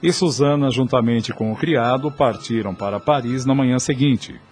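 A man's voice: medium pitch, moderate pace, faint music behind. A man with a Brazilian accent reading scripted narration for a radio drama.

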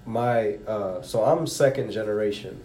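A man's voice: unhurried, mellow. A man in a casual talk, rambling with many fillers such as you know. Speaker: conversation participant. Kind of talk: casual conversation. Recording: roomy with faint rumble.

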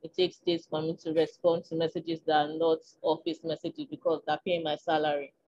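Small-voiced Nigerian woman, low pitch. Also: fairly even intonation, moderate pace, highs cut off. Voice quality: small, tiny voice. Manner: reflective, frank, with filler ums and soft laughs. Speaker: interview guest. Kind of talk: candid conversational chat.

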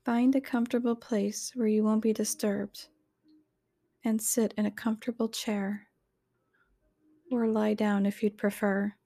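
A woman reading a scripted guided meditation in a soothing, gentle, measured manner. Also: medium pitch, level tone, dry recording, clean studio sound.

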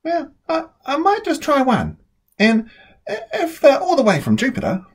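A woman's voice: high voice, wildly swooping pitch, moderate pace, pitched above the performer's own voice, heavily acted. A woman voicing a fussy, stubborn little girl in a storybook, with emphatic, dramatic delivery. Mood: coaxed, eager. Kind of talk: storybook character voice.

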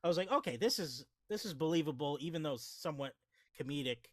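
A man's voice: fairly high pitch, expressive, fast, no room sound, studio quality. A man co-hosting a movie review podcast, rambling casually with lots of restarts and fillers. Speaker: podcast co-host.

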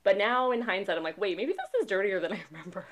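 A woman's voice: mid-range voice, expressive, fast talker, a little hiss or hum. A woman in her thirties co-hosting a casual podcast chat, rambling and laughing often. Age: 30s. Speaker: podcast co-host.

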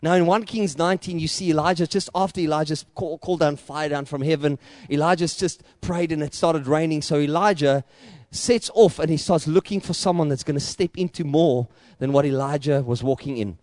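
A middle-aged man with a South African accent, an Afrikaans speaker, preaching a sermon with repetitive, building rhetoric that surges to loud emphatic peaks. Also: a little high, steady tone, brisk, no room sound. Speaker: pastor.

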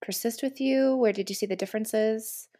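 A woman's voice: fairly high pitch, normal speed, dark tone. Curious woman podcast co-host. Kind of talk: podcast hosting and interviewing.

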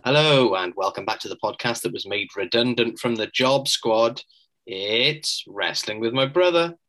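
A man co-hosting a podcast, talking in a measured, thoughtful way as he weighs things up in casual chat. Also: medium pitch, expressive, a bit bright. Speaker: podcast co-host.

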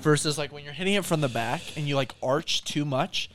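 A young man who works as a fitness coach, co-hosting a casual podcast with animated, quick reactions. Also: a little high, slight background noise. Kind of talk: casual chat.

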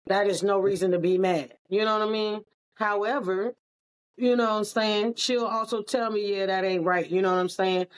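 A woman's voice: mid-range voice, fairly even intonation, moderate pace. Blunt older woman, frank talk. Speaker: podcast co-host. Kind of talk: casual podcast chat.

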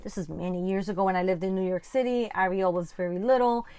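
Spanish accented lady, native spanish speaker, hosting a casual bible podcast, earnest and chatty, average pitch, really dry recording. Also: fairly even intonation, fast talker, mellow, clear, resonant tone, no echo. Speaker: podcast host and Bible teacher.

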